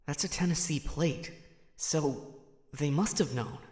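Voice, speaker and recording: Casting: thoughtful young male hero, acted by a man, mid pitch, puzzling aloud, echoing long reverb, audio drama. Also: measured pace, a little mellow, natural voice. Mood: pensive, puzzled. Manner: reasoning aloud to himself. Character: pensive, sharp-witted young male would-be hero. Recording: echoey, long reverberant tail.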